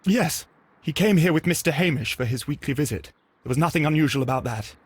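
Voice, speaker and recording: An actor playing an earnest, flustered young gardener in a video game, with a husky voice and hesitant delivery. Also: male voice, on the high side, lively intonation, brisk. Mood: earnest, troubled. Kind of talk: scripted game dialogue, giving testimony.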